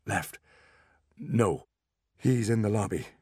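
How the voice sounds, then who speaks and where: Man playing storybook father, earnest, desperate, urgent, lively pitch, close dry sound. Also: slow pace, breathy edge, no room sound, full character voice. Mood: distracted, anxious. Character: desperate, loving father, shaken but urging his son.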